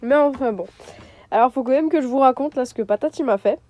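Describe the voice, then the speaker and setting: A woman's voice: sits high, quick pace, a little mellow, no room sound. Chatty woman with a stuffy nose. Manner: chatty, hesitant with many fillers. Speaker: vlogger. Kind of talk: casual vlog chat to camera. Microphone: phone mic.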